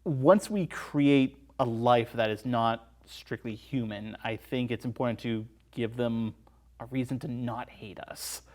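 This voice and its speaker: A man's voice: medium pitch, measured pace. A man appearing as a guest on a film review show, giving his thoughts in casual discussion.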